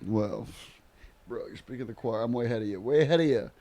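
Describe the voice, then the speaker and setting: A man's voice: medium pitch, fast, dark tone. A man co-hosting a podcast in casual chat, with a joking, bantering manner. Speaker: podcast co-host.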